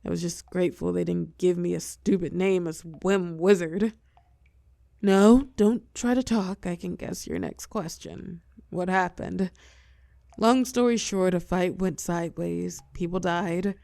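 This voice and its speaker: A fallen heroine turned telepath villain, acted by a woman, speaks close and dry in a lowish, crackling voice. She is weary and wry, tender with an edge of menace, pausing for effect as in an intimate audio drama. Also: gravelly, warm tone, no echo. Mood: reluctant, resigned. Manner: soothing pet names, wry bitterness, dramatic pauses, drops into whispers. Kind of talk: intimate scripted audio roleplay monologue.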